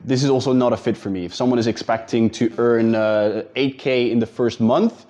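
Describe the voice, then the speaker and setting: A man's voice: mid-range voice, fairly even intonation, fairly quick, darkish tone. A man with a Dutch accent, a business coach talking casually and directly to viewers in an advice video. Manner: direct, informal, addresses viewers as guys.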